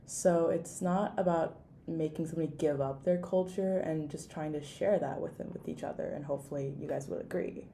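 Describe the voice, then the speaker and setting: Measured young woman, slightly low voice. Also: steady tone, vocal fry, dark tone, slight background noise. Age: young adult. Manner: fluent, measured reasoning. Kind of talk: casual discussion.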